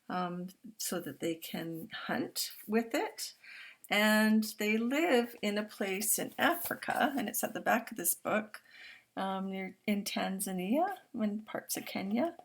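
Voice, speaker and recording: Older female storytime reader, unhurried and gentle, explains pictures with quiet asides. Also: mid-range voice, gravelly. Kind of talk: children's picture-book read-aloud.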